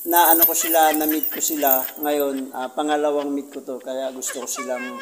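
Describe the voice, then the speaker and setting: A man with a Filipino accent and Tagalog as first language, chatting casually as a vlogger, jovial and halting, often groping for English words. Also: on the high side, little pitch movement. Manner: jovial, giggly, self-deprecating. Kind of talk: casual vlog chat, interviewing visitors. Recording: clearly noisy amateur vlog recording.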